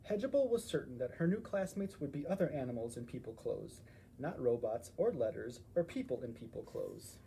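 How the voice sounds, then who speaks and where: A man narrating a children's story in an animated style, as a story time reader. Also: mid-range voice, a little fast, a little hiss or hum.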